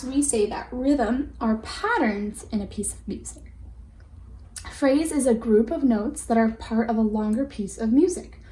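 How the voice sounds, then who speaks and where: A woman's voice: a little high, warm tone. A woman teacher reading a children's picture book aloud in an instructive way, explaining as she goes. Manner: instructive, addresses listeners with questions and prompts. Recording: roomy echo with faint background noise.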